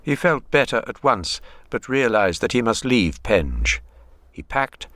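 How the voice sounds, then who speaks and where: Radio drama narrator, grave, literary, lively intonation, dry close recording. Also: male voice, mid-range voice, a little slow, dry recording. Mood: brooding, measured. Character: grave literary narrator telling a troubled man's story.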